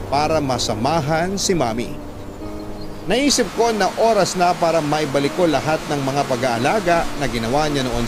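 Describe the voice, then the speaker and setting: A man narrating a listener's letter as a scripted, dramatic first-person story. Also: fairly high pitch. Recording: loud music bed under the voice.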